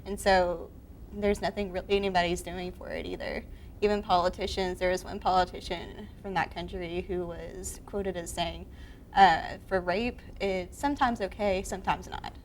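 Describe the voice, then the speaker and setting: A woman's voice: lowish voice, steady tone, normal speed. Young woman, charity run organizer, earnest interview delivery, mid-range voice, faint room hiss. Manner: earnest, with ums and uhs.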